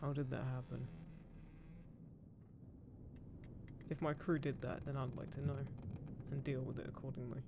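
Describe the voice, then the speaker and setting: A man playing a roguish, smug, gruffly caring undead pirate captain in a roleplay audio drama, teasing and menacing, speaking unhurriedly to the listener. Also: mid-range voice, flat delivery, fairly quick, lo-fi sound, noisy background, natural voice. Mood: gruff concern. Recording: constant ship creaking ambience behind voice. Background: creaking wooden ship sound effects.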